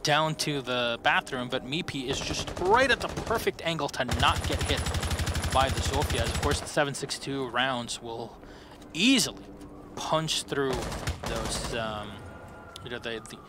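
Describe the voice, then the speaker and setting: A man in his twenties doing play-by-play commentary on an esports match, excitable and quick to rise on big plays. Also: medium pitch, background noise. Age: 20s. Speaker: esports caster. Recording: loud gunfire from the game behind. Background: game gunfire and effects.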